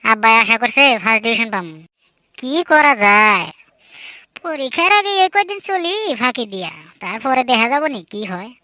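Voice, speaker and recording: A woman's voice: a little high, expressive, normal speed, bright, forceful tone, dry recording. A woman voicing a sly, boastful, lazy teenage village son in a comedy cartoon, chatty and colloquial. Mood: scheming.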